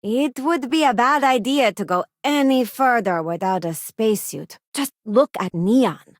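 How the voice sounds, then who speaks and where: A woman's voice: on the high side, lively intonation, unhurried, dry recording, studio quality. A woman playing a principled, self-assured working-class spacefarer who serves as a crew companion in a video game. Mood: earnest, critical. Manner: earnest, plain-spoken, self-assured.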